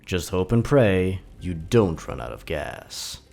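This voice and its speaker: A man hosting and narrating horror stories in a scripted, deliberate and dramatic storytelling delivery. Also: deep voice, very animated, vocal fry, a little mellow, faint music behind.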